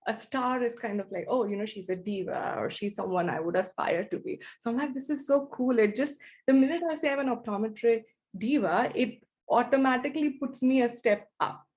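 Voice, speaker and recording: A woman's voice: a little high, a little fast, darkish tone. Woman with indian roots, interview host, chatty, casual, lots of fillers. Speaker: host, optometrist.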